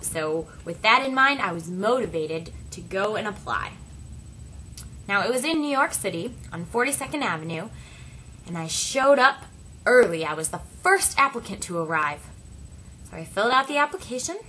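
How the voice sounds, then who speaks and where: A woman voicing a first-person story as an interpreter, speaking deliberately in short phrases with dramatic pauses. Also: mid-range voice, bright, forceful tone, slight background noise, low-quality recording.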